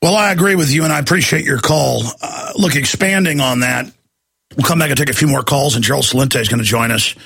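A radio talk show host, who is losing his voice, talking in an emphatic, ranting way that climbs high when he gets worked up. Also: fast talker, vocal fry, fairly bright tone, husky. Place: radio studio. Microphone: studio microphone.

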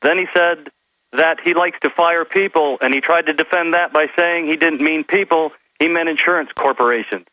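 A man's voice: a little high, moderate pace, dry recording. A man calling in to a talk radio show, earnest and indignant as he lays out his complaints. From North Carolina. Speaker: caller. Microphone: phone. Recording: dull, dry phone-line sound.

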